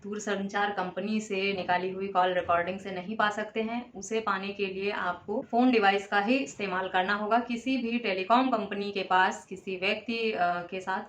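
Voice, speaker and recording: A woman giving a measured, instructive explanation to viewers, in the style of a legal awareness host. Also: mid-range voice, steady tone, fairly bright tone, a little hiss or hum. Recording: echoey, reverberant room.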